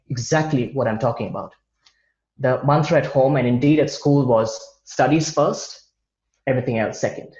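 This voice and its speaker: A man presents a prepared talk over a video call. He reads from notes at an even, careful pace and sometimes restarts a word. The sound is roomy and dull. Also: mid-range voice. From India. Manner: measured, read-from-notes delivery with occasional restarts. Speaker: guest speaker. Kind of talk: online presentation over slides.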